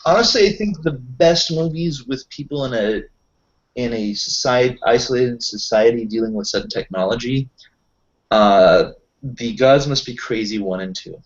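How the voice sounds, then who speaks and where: A man chatting casually as a tabletop roleplaying player, pausing mid-thought as he goes. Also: medium pitch. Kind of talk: casual chat. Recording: dull lo-fi voice recording.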